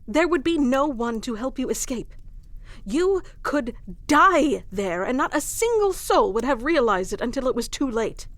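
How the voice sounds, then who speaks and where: A woman's voice: high voice, no echo. A woman playing a proud, protective warrior queen in an intimate fantasy audio roleplay, speaking with formal, wry, commanding diction.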